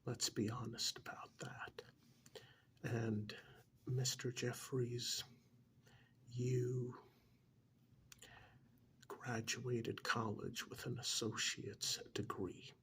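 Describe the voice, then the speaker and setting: A man playing a calm, blunt couples therapist in a soft-spoken ASMR role-play, half-whispering with breathy, deliberate, hesitant pauses. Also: medium pitch. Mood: frank, measured. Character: calm, blunt male couples therapist counselling married clients. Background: soft clicking of pencil tapping.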